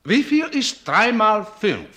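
An inquisitive gentleman scientist in a radio play. His bright, slightly high voice swoops dramatically through formal, crisp questions. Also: very animated, takes it slowly, full character voice. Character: sharp, inquisitive gentleman scientist on an examining commission.

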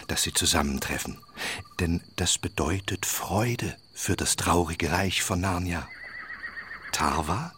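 An actor playing a wise, kindly, wistful old half-dwarf tutor in a children's radio play, speaking solemnly and deliberately. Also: male voice, low voice, pushed and bright, slight background noise, heavily acted. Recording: music behind the voice. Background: clear background music.

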